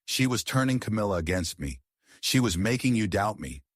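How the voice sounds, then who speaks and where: A man's voice: fairly low pitch, very animated, moderate pace, dry recording, studio quality. A man voicing a cold, scheming wealthy wife who speaks sharply and defensively.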